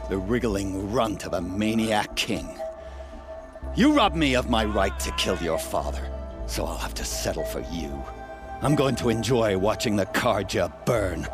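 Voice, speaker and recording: A man playing a vengeful, bitter villain with theatrical menace in a video game cutscene. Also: fairly low pitch. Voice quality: menacing delivery.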